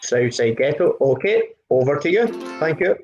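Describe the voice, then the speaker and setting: A man's voice: a little high, sing-song, moderate pace, a little mellow, dull audio. A man hosting an online live music session, chatting casually and rambling with many fillers and restarts.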